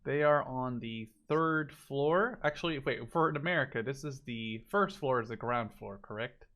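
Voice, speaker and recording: Male game spectator-commentator, medium pitch, informal and off the cuff, talking through what he watches. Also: normal speed, a bit bright. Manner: informal, thinking aloud, mildly profane. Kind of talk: casual unscripted gameplay commentary.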